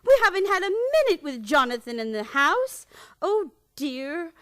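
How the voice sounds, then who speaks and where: A woman's voice: sits high, very animated, normal speed, fairly bright tone. A woman playing a sweet, prim, dithering elderly aunt in a radio play. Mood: fretful.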